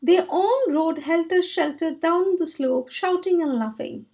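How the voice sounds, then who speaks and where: A woman reading a children's story aloud in expressive storybook narration. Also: extremely high pitch, unhurried.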